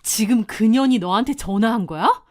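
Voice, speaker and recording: A woman's voice: on the high side, moderate pace, natural voice. A woman voicing a protective, hot-headed older sister in an audio drama, blunt, bossy and indignant. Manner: blunt, commanding, cursing.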